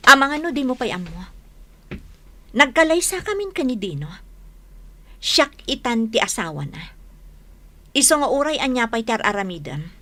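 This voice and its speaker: A woman's voice: a little high, sing-song, moderate pace, pauses often. An actress with an Ilocano accent playing a proud new wife who taunts her rival in a radio drama. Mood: taunting. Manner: sharp, taunting, scornful.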